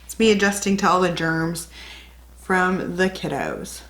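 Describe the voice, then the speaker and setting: A woman's voice: lowish voice, a little slow, a bit bright. A young woman with a slight cold, chatting and rambling through a casual makeup tutorial as a beauty vlogger. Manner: chatty, rambling, self-correcting.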